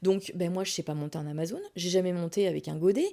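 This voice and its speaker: Casting: female podcast host, warm relaxed timbre, chatty and animated, self-mocking, recounts personal anecdotes in everyday slangy speech. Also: on the low side, quick pace, vocal fry. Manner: animated, colloquial, self-deprecating. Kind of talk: casual personal storytelling.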